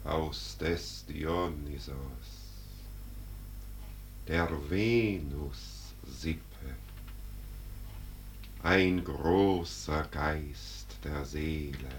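An actor playing Death as a solemn, majestic spirit, declaiming verse in a stately, commanding manner in a classic German radio drama. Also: male voice, low-pitched, fairly bright tone, slight background noise, full character voice. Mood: solemn, mysterious.

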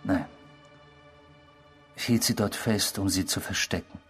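An actor playing a smug, remorseless criminal confessing to a stranger in a German radio drama, speaking in a measured, provocative, self-satisfied way. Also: male voice, low-pitched, fairly bright tone, husky, faint music behind, full character voice. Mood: calm, unrepentant.

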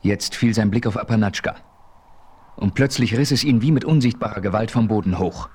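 A man narrates a radio play in a steady storytelling voice. His voice sits rather low. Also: fairly quick, slightly rough.

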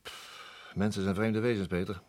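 Man acting a low-voiced, world-weary army captain. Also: steady tone, normal speed, a little mellow, faint music behind, natural voice. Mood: wistful. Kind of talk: radio play acting.

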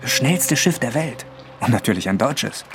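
A man's voice: mid-range voice, lively intonation, normal speed, music in the background, natural voice. A man acting a chummy, wheedling journalist and old friend in a German period crime radio drama. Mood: persuasive. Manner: chatty, teasing.

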